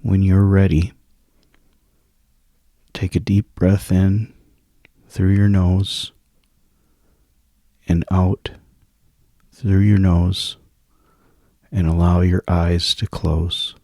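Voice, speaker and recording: A man with a very low, creaky voice guides a sleep meditation. He is hushed and soothing, leaving long gaps between short phrases. The sound is close and dry, with a husky grain to his words. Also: level tone, drawn-out words, long pauses, dry recording.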